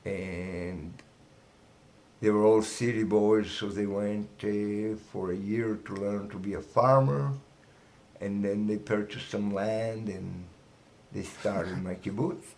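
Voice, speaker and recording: Slow, gravelly Israeli man in his sixties. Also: fairly low pitch, fairly even intonation, a little mellow. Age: 60s. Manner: halting, broken English with restarts. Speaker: guest. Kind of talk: casual interview.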